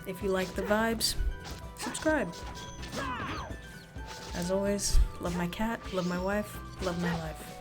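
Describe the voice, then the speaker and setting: A woman's voice: medium pitch, fairly even intonation, slow pace, long pauses. A woman hosting her own channel, talking casually and sincerely to her viewers in a personal way. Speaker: video creator and channel host. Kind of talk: casual, earnest talk to viewers over gameplay. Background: music.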